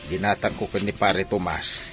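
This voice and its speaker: A man playing an older village father in a radio drama, concerned and halting, with conversational exclamations. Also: low-pitched, steady tone, music in the background, lightly acted. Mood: matter-of-fact.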